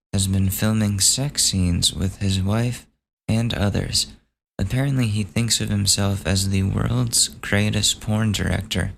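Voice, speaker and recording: A gravelly, hoarse man talks slowly through a solo podcast, somewhat low in pitch. His manner is offhand and sweary as he muses over each item. A faint hiss sits under his voice. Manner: offhand, swears, thinks aloud while reading gossip items. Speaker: podcast host. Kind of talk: casual solo podcast commentary.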